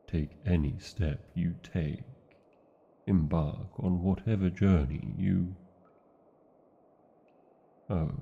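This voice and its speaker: A man's voice: low-pitched, vocal fry, breathy edge, narrow, tinny audio, heavily acted. A man with a British accent voicing a large, devoted, proud male dragon in a fantasy ASMR romance, speaking tenderly with theatrical, formal wording.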